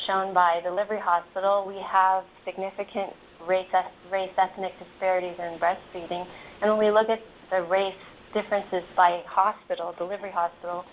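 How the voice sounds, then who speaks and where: A soft-spoken woman epidemiologist presenting slides in a measured, explanatory way, with frequent ums and restarts. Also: medium pitch. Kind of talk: slide presentation over a phone line. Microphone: phone on the table. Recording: muffled phone line, faint noise.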